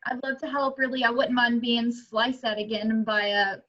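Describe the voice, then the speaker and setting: A woman playing a brash, sharp-tongued TV reporter in an amateur table reading of a film script. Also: a little high, flat delivery, moderate pace, natural voice. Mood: guarded, sardonic. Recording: dull, muffled home recording.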